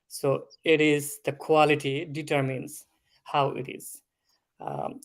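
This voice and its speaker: A man from Bangladesh in his thirties, a food safety consultant answering interview questions in a measured, explanatory way with frequent pauses. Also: on the high side. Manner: slow, measured, explanatory with filler ums.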